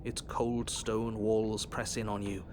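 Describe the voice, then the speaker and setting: An actor playing a prim, scolding British game narrator who speaks in a dry, precise way. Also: male voice, fairly low pitch, flat delivery, slow, noisy background. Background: game music.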